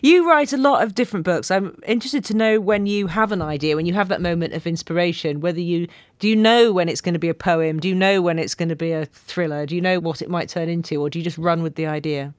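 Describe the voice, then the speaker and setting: A woman's voice: fairly low pitch, fast talker, few pauses, no echo. A middle-aged woman hosting a podcast, asking questions in a conversational book interview style.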